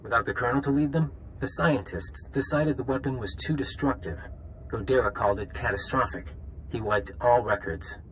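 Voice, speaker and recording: An actor playing a methodical squad leader in a video game, briefing operatives with measured authority. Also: male voice, medium pitch, fairly bright tone, slight background noise, lightly acted, rough recording. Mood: explanatory.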